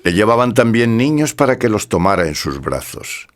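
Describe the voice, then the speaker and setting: Male narrator, very low voice, solemn, formal, scripted scripture narration. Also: very animated, normal speed.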